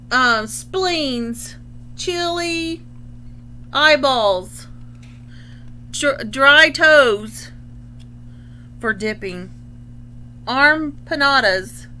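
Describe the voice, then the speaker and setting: Woman putting on an emphatic, bright zombie parent. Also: high-pitched, lively intonation, extremely slow, long pauses, slight background noise, full character voice. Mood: eager, hospitable. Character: zombie parent, eager host of a gruesome dinner, firm.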